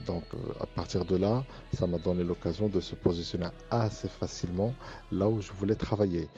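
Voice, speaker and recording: A middle-aged man from Morocco, an Arabic speaker, giving an earnest, measured interview as a university professor, often pausing on hesitant fillers. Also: deep voice, steady tone, mellow, lo-fi sound, soft background music. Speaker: university professor and engineering director. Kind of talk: interview testimony for a student podcast.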